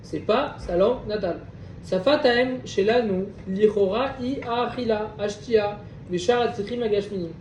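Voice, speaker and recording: A man's voice: high voice, moderate pace, a little mellow. A man teaching a Torah class. He reads Hebrew passages aloud, explains them, and checks with questions that the group follows. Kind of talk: reading Hebrew text and explaining in French. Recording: echoey room, distant mic, faint background noise.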